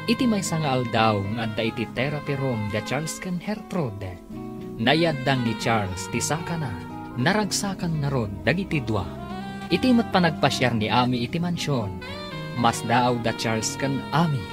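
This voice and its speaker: A man with an Ilocano accent narrating and announcing a radio drama serial in a dramatic scripted style. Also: mid-range voice. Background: music.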